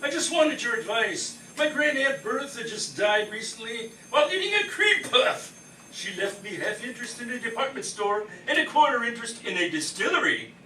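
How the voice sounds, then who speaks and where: A man's voice: very high voice, big pitch swings, moderate pace, sharp tone, exaggerated voice. A man playing a bumbling, pompous, oblivious spaceship captain in a comic live radio play, rambling and blustering theatrically. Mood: cheerfully oblivious. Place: live stage performance in a room. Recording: distant mic in echoey room, faint noise.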